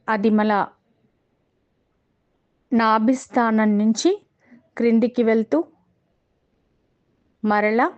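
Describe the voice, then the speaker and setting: A woman with a Telugu accent guiding a meditation in a calm, measured way, pausing between short phrases. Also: fairly high pitch, muffled recording. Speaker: meditation guide. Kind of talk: guided meditation instruction.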